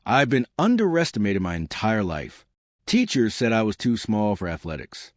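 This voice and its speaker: Man voicing a cocky, fierce female space captain, animated. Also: fairly low pitch, moderate pace, dry recording, clean studio sound. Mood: defiant, teasing. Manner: wry, confident, teasing.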